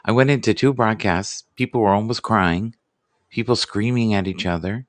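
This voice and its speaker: A man's voice: lowish voice, normal speed. A man hosting a casual live stream chat, joking around and acting out quotes in put-on voices. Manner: jokey, acts out quotes in silly voices.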